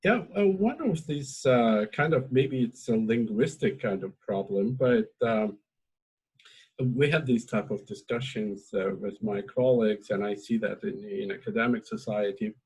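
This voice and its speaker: A man's voice: fairly low pitch, unhurried, a little mellow, breathy edge, rough recording. A man whose first language is Azeri, hosting an informal academic discussion in English, speaking hesitantly with many fillers and restarts. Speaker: academic host.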